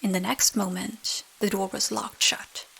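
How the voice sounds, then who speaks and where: A woman with a soft, breathy near-whisper narrating an ASMR bedtime story close to the mic. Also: mid-range voice, flat delivery, measured pace. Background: rain sounds.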